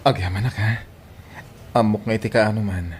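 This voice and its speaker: A man's voice: fairly low pitch, fairly even intonation, normal speed, slight background noise, close to an everyday voice. An actor playing a tender, earnest young male suitor in an Ilocano audio drama. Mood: tender, pleading. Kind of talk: Ilocano radio-style drama acting. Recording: faint music under the voice.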